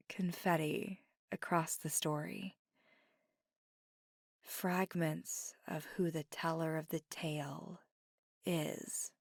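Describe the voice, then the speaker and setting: A woman voicing a playful, mischievous, kindly witch in an intimate, unhurried ASMR monologue that slips into near-whispers. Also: on the low side, vocal fry, no echo, clean studio sound, exaggerated voice. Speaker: ASMR audio performer.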